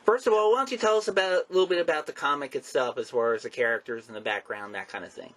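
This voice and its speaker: A man's voice: a little high, quick pace, fairly bright tone. A man hosting a comics show, talking casually through introductions and announcements.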